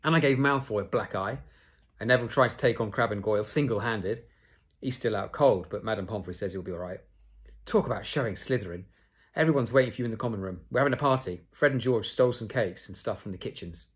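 A man voicing an excitable teenage schoolboy who talks loudly and rushes his words. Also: lowish voice, breathy edge. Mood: excited. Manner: loud, rushing.

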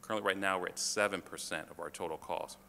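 A man presenting to a city council as a fire department official, halting, with many fillers and restarts. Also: low-pitched, fairly even intonation, pushed and bright. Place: council chamber. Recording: reverberant chamber, faint room noise.

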